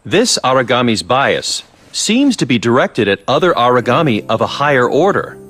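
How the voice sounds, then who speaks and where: An actor playing a clever, genial scientist in a video game, chatting and lecturing in an unruffled way. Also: male voice, medium pitch, sing-song, normal speed, a bit bright. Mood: intrigued, explaining. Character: clever, genial, sly male scientist doctor. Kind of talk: English video game voice acting.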